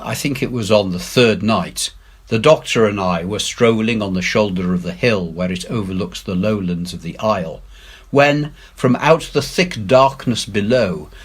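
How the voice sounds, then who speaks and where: A man reading a classic adventure story aloud in an expressive, measured way with emphatic phrasing. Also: fairly low pitch, slightly rough. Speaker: head teacher reading aloud.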